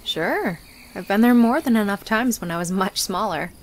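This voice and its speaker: A woman's voice: medium pitch, animated, moderate pace, a little hiss or hum, close to an everyday voice. A woman voicing a practical, reserved young necromancer in a visual novel, understated and matter-of-fact. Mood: dry, calm. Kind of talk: visual novel character dialogue.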